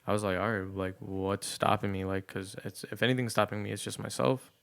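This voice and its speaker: A man in his twenties co-hosting a podcast, speaking in a relaxed, low-key way. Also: a little fast, vocal fry. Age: 20s. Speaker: podcast co-host. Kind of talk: casual chat.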